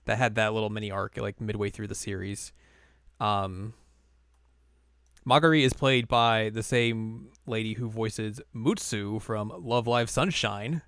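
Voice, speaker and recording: A man's voice: on the low side, a little slow, a bit bright, clean tone, dry recording, studio quality. A man co-hosting a podcast in casual chat, rambling with lots of fillers and frequent laughs. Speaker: podcast co-host.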